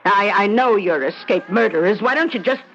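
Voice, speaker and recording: A woman's voice: mid-range voice, expressive, a bit bright. An actress playing a menacing old hag who lives with her cats, theatrical and vengeful, in old-time radio drama style. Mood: wary, indignant. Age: older. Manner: slow, theatrical, vengeful incanting. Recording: dull, noisy old radio recording.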